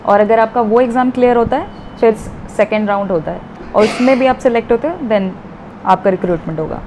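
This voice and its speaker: Casting: female expert interviewee, slightly high voice, explanatory with English terms, faint location noise. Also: fairly quick. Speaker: air traffic controller, interview guest. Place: at an airport, near navigation equipment.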